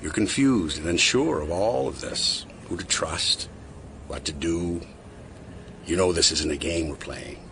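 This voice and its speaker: Persuasive insider, low voice, knowing, measured, audio drama, noisy street behind. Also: male voice, creaky. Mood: calm persuasion. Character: calm, persuasive male conspiracy insider.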